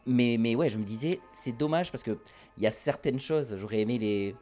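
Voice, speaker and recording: A man's voice: medium pitch, moderate pace, warm tone, faint music behind. A man hosting a casual film podcast among friends, chatty and teasing. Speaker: podcast host.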